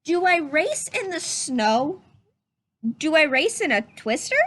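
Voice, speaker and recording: High-pitched, curious little boy, read by a woman. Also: big pitch swings, slow, fairly bright tone, heavily acted. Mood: wondering. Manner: questioning, rising phrasing. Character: curious little boy full of earnest questions. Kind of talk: storybook read-aloud.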